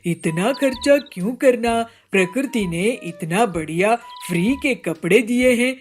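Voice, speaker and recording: A stingy, scheming male bird husband from a cartoon, as a woman voices him. He talks slowly and in a bossy, wheedling, self-satisfied way. His voice sits at a middle pitch for a woman. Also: animated, faint music behind, close to an everyday voice. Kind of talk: cartoon voice acting.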